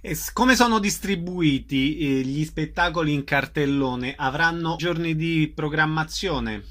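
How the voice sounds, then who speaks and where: A male radio host with a fairly high, bright voice. He asks questions and announces show details with clear, announcer-like delivery. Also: unhurried.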